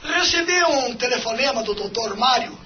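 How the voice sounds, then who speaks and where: A man's voice: very high-pitched, expressive, normal speed, sharp tone, full character voice. A Brazilian actor playing a wealthy, suspicious man probing his lawyer in an audio drama. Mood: uneasy, suspicious. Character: wealthy, suspicious male client with a secret. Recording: muffled, faintly noisy phone-call scene.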